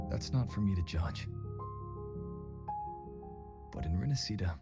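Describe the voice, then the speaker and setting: A deep, gravelly, compassionate wanderer. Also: male voice, full character voice. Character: grave, compassionate male wanderer, calm confidant. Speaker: video game character. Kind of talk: dramatic game voice acting. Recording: loud music under the voice.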